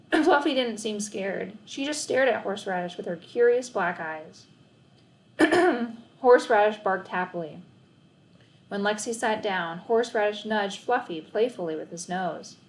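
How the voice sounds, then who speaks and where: A woman narrating a children's book as an expressive read-aloud storyteller. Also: mid-range voice, measured pace, a little hiss or hum.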